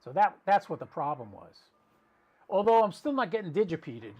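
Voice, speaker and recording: A man's voice: high voice, big pitch swings, normal speed, a little mellow, slightly rough. A man giving casual live commentary as a radio stream host, thinking aloud and explaining as he goes, often restarting his sentences. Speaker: ham radio livestream host.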